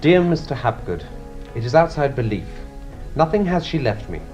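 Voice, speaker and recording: A man plays a formal London solicitor in a radio drama. He is composed and deliberate, mid-pitched with very varied intonation, over faint music. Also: big pitch swings, a little mellow, dull audio, lightly acted. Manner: formal, deliberate reading aloud.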